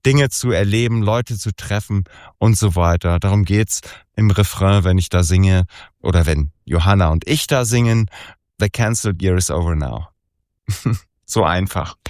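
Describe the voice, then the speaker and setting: A man in his forties, a musician talking casually in an interview, relaxed, with lots of fillers and easy laughs. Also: low-pitched, measured pace, no room sound, clean studio sound. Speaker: musician guest.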